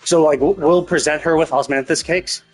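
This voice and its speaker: A man's voice: on the high side, fairly even intonation, a little fast. A man giving casual, speculative game commentary as a live streamer, thinking aloud and often rephrasing himself.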